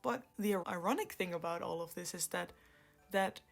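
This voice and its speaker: A woman from the Netherlands in her thirties, telling her personal story in a soft-spoken, reflective way, laughing often and sometimes trailing into a whisper. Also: fairly low pitch, moderate pace, gravelly. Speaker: vlogger. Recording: light background music.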